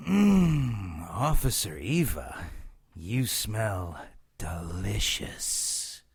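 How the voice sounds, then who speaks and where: A man's voice: lowish voice, big pitch swings, husky, heavily acted. A man playing a vengeful, arrogant witch villain in an audio drama, with a sinister, taunting delivery. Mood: menacing, gloating. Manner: theatrical, sinister, drawn-out taunting.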